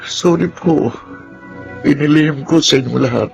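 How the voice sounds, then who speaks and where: An actor playing a troubled young Filipino lawyer in a radio drama, hesitant and confessional. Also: male voice, a little high, normal speed, gravelly. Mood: remorseful confession. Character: troubled, soft-spoken young male lawyer with a secret. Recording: music behind the voice.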